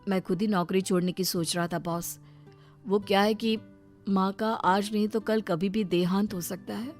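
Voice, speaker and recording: An actor playing a devoted, emotional grown son in a cartoon story, earnest and quick in delivery. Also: male voice, high voice, steady tone, darkish tone, soft background music, natural voice. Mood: earnest, sad. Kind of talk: Hindi cartoon moral story acting.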